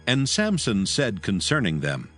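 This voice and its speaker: A man narrating dramatized scripture in a solemn, measured storytelling manner. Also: fairly low pitch, huge pitch swings, fairly bright tone. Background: music.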